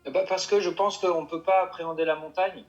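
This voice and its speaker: A mountain guide answering interview questions, hesitant and full of restarts and fillers. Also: male voice, high-pitched, steady tone, fairly quick, highs cut off, soft background music. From Paris suburbs.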